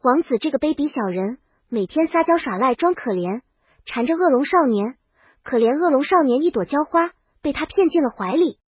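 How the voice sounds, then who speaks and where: A woman's voice: high voice, lively intonation, normal speed, no room sound, lo-fi sound. A woman reading a scripted first-person comic story as a princess, with dramatic, wry delivery. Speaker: narrator.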